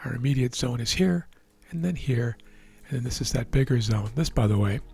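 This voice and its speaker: A man with a hoarse, worn voice calmly explaining the markets as a trading educator, often checking in with the listener. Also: mid-range voice, fairly quick. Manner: relaxed, explanatory, checks in with "right?". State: hoarse, losing his voice. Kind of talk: live market commentary over a chart screen.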